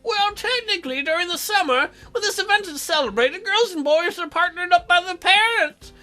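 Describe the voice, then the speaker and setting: A woman's voice: very high-pitched, animated, normal speed, sharp tone, soft background music, exaggerated voice. Woman putting on a bawdy teasing grandma. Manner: sweetly teasing, crude jokes.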